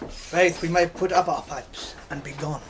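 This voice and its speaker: A man's voice: a little high, measured pace, noisy background, close to an everyday voice. A man playing a weary, blunt hired musician in a Shakespearean radio drama. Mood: blunt, dry. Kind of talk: classical Shakespearean radio acting.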